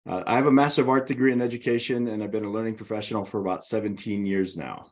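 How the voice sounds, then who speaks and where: A man's voice: lowish voice, steady tone, fast, a little mellow. Presenter, male, middle-aged, Hawaiian accent; friendly and organized, walks through slides. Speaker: webinar presenter. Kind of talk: presentation webinar.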